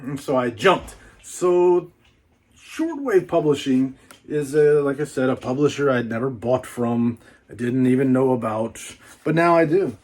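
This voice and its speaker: A man's voice: mid-range voice, lively intonation, unhurried, a little mellow. A man doing a casual, folksy book unboxing vlog, rambling playfully with repeats and little asides.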